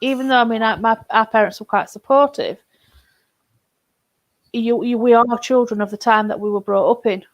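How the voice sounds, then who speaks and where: A woman's voice: on the high side, fairly even intonation, normal speed, pauses often, low-quality recording. A woman hosting a casual group chat, asking thoughtful questions with frequent restarts.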